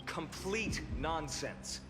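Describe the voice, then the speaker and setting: An actor playing a stern, unimpressed seasoned fighter in a video game. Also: male voice, on the high side, animated, drawn-out words. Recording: long reverb, music behind.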